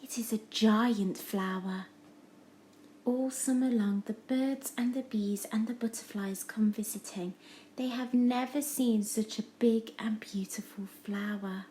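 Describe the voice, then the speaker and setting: A woman reading a children's story aloud as a teacher would, with simple, deliberate and expressive phrasing. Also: a little high, a little hiss or hum, rough recording.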